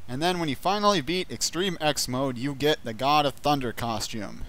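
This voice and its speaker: A man's voice: medium pitch, moderate pace, a bit bright. A man in a casual chat about a video game, with sarcastic, foul-mouthed banter.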